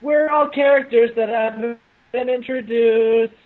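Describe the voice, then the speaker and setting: A man's voice: very high voice, steady tone, unhurried. A man co-hosting casual, joking movie commentary with friends over a narrow, tinny voice call. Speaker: co-host.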